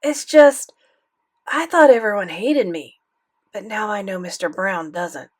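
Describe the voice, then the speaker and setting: A defensive young schoolboy, played by a woman confides his side of an audiobook story. He talks colloquially at a middling pitch, keen to be approved of. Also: measured pace, natural voice. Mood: evasive, defensive. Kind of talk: first-person audiobook narration.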